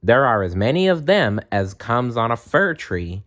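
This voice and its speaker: A man's voice: medium pitch, very animated, a little slow, a bit bright, muffled recording. A man voicing an informative chaffinch explaining things in a storybook. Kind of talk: storybook read-aloud.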